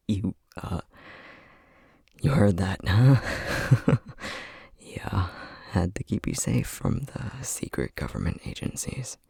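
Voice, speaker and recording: A man plays a tender, easily flustered young boyfriend in an ASMR roleplay. His voice is low and very husky, with breath all through it. He speaks slowly and softly, tripping over his words with hesitant stammers. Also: very animated, no echo, clean studio sound, full character voice. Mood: sheepish, flustered. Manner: hesitant, stammering, soft-spoken. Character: flustered, tender young boyfriend newly discovering magic powers.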